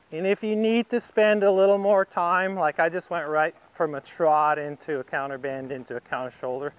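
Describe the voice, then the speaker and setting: A man giving a calm, patient, step-by-step riding lesson, narrating as he rides. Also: a little high, clear, resonant tone, low-quality recording. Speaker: riding instructor. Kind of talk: instructional demonstration narrated while riding.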